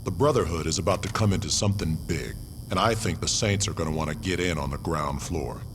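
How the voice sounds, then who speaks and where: A man's voice: low-pitched, fast, close to an everyday voice. An actor playing a menacing, arrogant male biker gang boss in a video game, talking in a sneering, threatening way. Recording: game audio with background noise.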